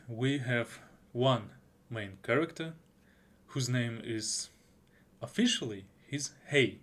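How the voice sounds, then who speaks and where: A young man whose first language is Russian, talking through an unscripted anime review with lots of restarts and fillers. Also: mid-range voice. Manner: halting, with frequent restarts and fillers. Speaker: YouTuber.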